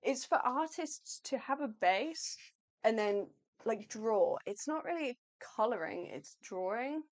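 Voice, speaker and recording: A woman co-hosting an art livestream in a chatty, upbeat, rambling way, her voice going slightly hoarse. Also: fairly high pitch, lively intonation, takes it slowly. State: losing her voice. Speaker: livestream co-host, artist. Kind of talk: casual live chat.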